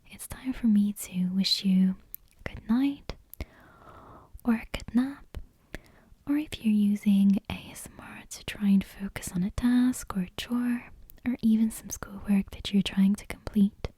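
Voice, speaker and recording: A woman whispering softly and breathily into a close microphone as an ASMR host. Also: medium pitch, unhurried, slightly rough, no echo. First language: non-native English speaker. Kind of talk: whispered ASMR bedtime reading and casual chat.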